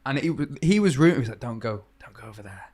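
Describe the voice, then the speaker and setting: A man's voice: mid-range voice, lively intonation, quick pace, darkish tone, breathy edge. A man turning thirty, a first-time podcast guest, chatty and teasing, with plenty of "like" in his speech. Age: 30s. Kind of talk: casual chat.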